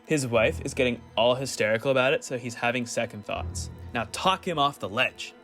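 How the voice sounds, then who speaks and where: An actor playing an eerily welcoming, unctuous corporate job interviewer in a radio play. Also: male voice, medium pitch, brisk, fairly bright tone, faint music behind, close to an everyday voice. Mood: satisfied, sinister.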